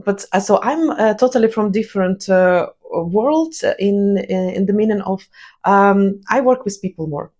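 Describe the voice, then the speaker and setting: A woman's voice: mid-range voice, unhurried, a little mellow, muffled recording. A woman from Ukraine with a Ukrainian accent, hosting an interview and asking long, rambling questions full of fillers. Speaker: podcast host. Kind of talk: interview conversation.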